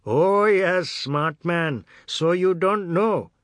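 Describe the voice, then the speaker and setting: A man voicing an angry, drunken middle-aged man who accuses and threatens loudly. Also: high-pitched, drawn-out words, dry recording, studio quality, voice pushed up. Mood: accusing. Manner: loud, threatening.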